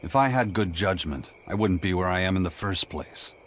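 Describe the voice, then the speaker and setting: An actor playing a grim, stubborn pirate first mate in a video game, gruff and serious. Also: male voice, low voice, animated, moderate pace, a bit bright. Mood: calm, serious. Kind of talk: English video game voice acting.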